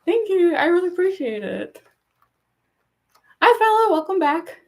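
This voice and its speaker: A young woman with a gruff, raspy voice and a goofy laugh, chatting casually with viewers on a livestream, rambling with lots of fillers. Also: very high voice, very animated, unhurried. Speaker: cosplay livestreamer.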